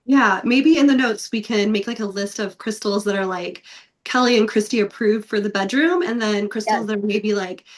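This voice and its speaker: A woman in her thirties, raspy from a recent illness, chatting casually as a podcast co-host with many filler words. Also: mid-range voice, normal speed. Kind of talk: casual chat.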